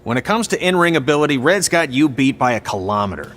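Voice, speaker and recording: An actor playing a sarcastic, blunt veteran wrestling trainer in video game dialogue. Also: male voice, medium pitch, sing-song, fast, fairly bright tone, slight background noise.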